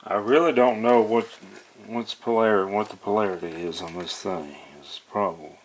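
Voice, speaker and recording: A man's voice: fairly low pitch, normal speed, poor audio. A man giving casual, unscripted narration of a hands-on repair, folksy and hesitant as he thinks aloud. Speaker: hobbyist demonstrating an electronics repair. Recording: noticeable background noise with clicks.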